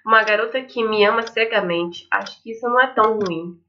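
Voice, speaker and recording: A woman's voice: mid-range voice, brisk, slight background noise. A young woman with a Brazilian accent, reading a visual novel aloud and adding casual asides as a gaming host. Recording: dull lo-fi microphone, faint music. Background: faint game music.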